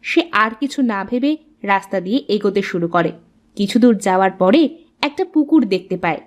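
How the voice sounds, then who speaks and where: A woman's voice: mid-range voice, expressive, a little fast. A woman reading scripted narration for a cartoon story as its narrator.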